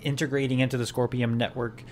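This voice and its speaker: A man's voice: medium pitch, little pitch movement, quick pace, a little mellow, a little hiss or hum. Rambling male podcast host. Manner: rambling, many fillers and restarts. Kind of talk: casual chat.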